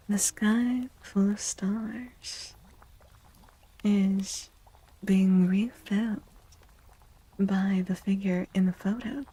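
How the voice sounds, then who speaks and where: A woman speaking in a soft ASMR style as a streamer doing a tarot reading, hushed and often slipping into whispers. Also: mid-range voice, low-quality recording.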